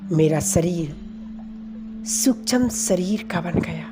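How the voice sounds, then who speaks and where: Female meditation guide, calm and soft, very unhurried pacing over faint music. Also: fairly low pitch. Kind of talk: slow guided meditation commentary. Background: background music.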